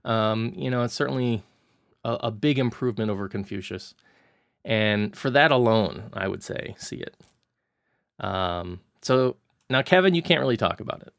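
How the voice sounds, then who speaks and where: Gravelly man reviewing a film, dry sound. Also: fairly low pitch, lively intonation, fairly bright tone, no room sound. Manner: halting, stammering restarts. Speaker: film podcast co-host. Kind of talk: casual film review chat.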